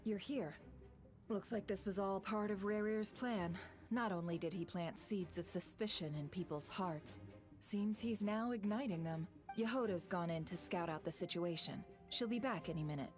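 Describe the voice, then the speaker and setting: An actress playing a composed, shrewd woman in a video game, calm and articulate in a knowing way. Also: medium pitch, moderate pace, faint music behind, natural voice.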